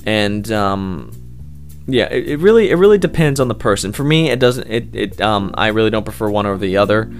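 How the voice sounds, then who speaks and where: Low-voiced man chatting casually over music. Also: expressive, a little fast, creaky. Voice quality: loud voice. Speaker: amateur voice actor being interviewed. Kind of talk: casual unscripted interview answers. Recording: music playing behind the voice.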